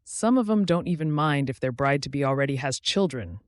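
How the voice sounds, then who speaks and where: A man's voice: mid-range voice, expressive, brisk, dry recording, studio quality, close to an everyday voice. A man voicing a kindly, bashful older woman, a folksy frontier cook in an audiobook. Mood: earnest. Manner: folksy, dropped g's, homely endearments.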